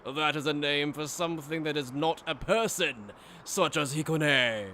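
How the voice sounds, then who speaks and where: A man playing a smug, higher-voiced aristocratic villain. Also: a little slow, bright, forceful tone, slight background noise. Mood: coldly disdainful. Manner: pompous, theatrical, sneering formal speech. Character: smug, cruel aristocratic male villain, mocking and condescending. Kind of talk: anime fan dub voice acting.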